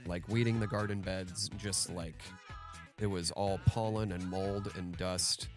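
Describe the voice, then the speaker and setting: A congested man co-hosts a podcast, chatting in an easygoing, agreeable way. His voice sits on the low side. Faint music plays behind him. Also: steady tone, a little slow. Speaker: podcast co-host. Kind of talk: casual chat over beers.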